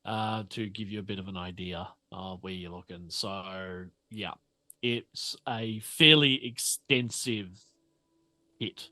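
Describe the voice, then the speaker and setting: A man's voice: lowish voice, slow, sharp tone, no echo. An Australian man running a tabletop game casually, hesitantly piecing his narration together as game master.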